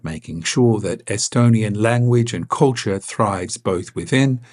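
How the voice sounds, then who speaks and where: A male reporter giving steady, scripted news narration. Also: lowish voice, a little slow.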